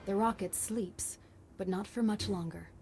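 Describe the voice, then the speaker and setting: A solemn female guide , acted by a woman, narrates a sci-fi game quest. Her delivery is measured and scripted, at a middling pitch. Clear noise sits around the voice. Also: fairly even intonation, lightly acted. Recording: quiet game audio under loud noise.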